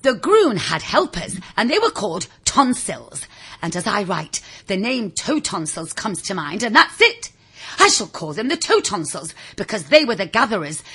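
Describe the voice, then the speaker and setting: Woman reading a kids story, really swoopy and dramatic, husky voice, talks right to the listener. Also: mid-range voice, pushed and bright. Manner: theatrical, confiding, addresses the listener directly. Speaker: audiobook reader. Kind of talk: animated scripted children's story narration.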